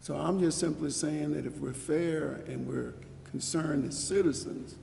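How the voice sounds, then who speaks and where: An echoey hall surrounds a man with a fairly high, creaky voice. He argues unscripted as a council member, sounding insistent and defensive, and leans on repetition to press his point. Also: a little slow, mellow, slight background noise. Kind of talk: unscripted meeting debate. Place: council chambers, indoors. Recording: echoey meeting hall with long reverb.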